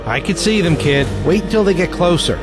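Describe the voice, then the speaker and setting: A man's voice: sits high, expressive, brisk, music in the background. An actor playing a tense, protective seasoned infantryman in a Second World War audio drama. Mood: tense, urgent.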